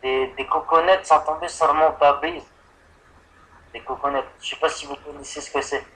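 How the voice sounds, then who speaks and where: A man's voice: on the high side, steady tone, moderate pace, sharp tone. A man on a telephone line making a prank call as a customer, rambling and hesitant as if confused. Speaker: prank caller posing as an insurance customer. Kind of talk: improvised prank phone call.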